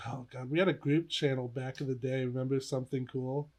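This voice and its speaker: A young man co-hosting a casual podcast, telling stories in an animated, giggly way. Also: mid-range voice, a little fast. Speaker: podcast co-host. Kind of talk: casual podcast chat, reminiscing.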